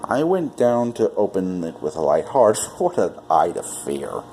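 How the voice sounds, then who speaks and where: A man's voice: low-pitched, sing-song, a little slow, dark tone, full character voice. A man performing a dramatic gothic horror reading as a mad, cunning murderer who is boastful and frantic with guilt, in a theatrical manner. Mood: gleeful, confident. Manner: theatrical, swinging from whispers to manic outbursts. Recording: noisy amateur one-take home recording.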